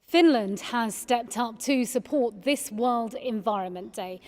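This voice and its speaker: A woman with a slightly high voice presents as an event host. Her delivery is scripted, formal and polished, and long hall-like reverb trails behind it. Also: unhurried, darkish tone.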